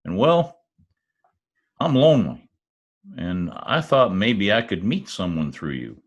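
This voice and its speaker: Man putting on a folksy, bashful cowboy, swooping pitch. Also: sing-song, slow pace, exaggerated voice. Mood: lonely, hinting. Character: bashful, folksy Oklahoma cowboy with oil money. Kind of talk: old-time radio comedy-drama acting.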